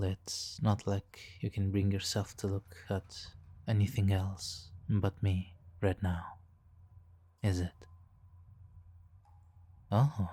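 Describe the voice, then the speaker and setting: A man playing a suave, teasing, hypnotically controlling supervillain in a soft, intimate ASMR roleplay, condescending and purring, breathy in places. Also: sits low, no room sound, exaggerated voice. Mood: seductive, smug. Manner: condescending, purring, drawn-out pauses, soft chuckles.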